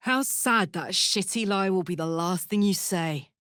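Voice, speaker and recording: A woman's voice: on the low side, a little slow, a bit bright, clean tone, no room sound, clean studio sound. A woman voicing a brash, big-hearted, battle-hardened warrior woman in a video game, blunt and slangy. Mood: grim, tough. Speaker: video game character voice actor. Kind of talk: video game character voice lines.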